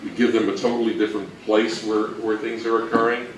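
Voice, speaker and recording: A man giving an informal, halting talk on history as a co-presenter, thinking aloud as he goes. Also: on the low side, level tone. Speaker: co-presenter at a fan convention talk. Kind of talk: informal lecture on old radio history. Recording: roomy, distant mic with faint noise.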